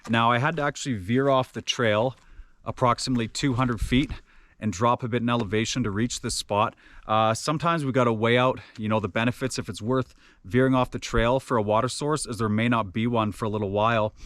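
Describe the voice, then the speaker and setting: A man with a naturally loud voice giving casual commentary outdoors on a mountain trail, relaxed, with many filler uhs. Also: mid-range voice, fairly quick, dry recording. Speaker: trail running vlogger. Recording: music playing behind the voice.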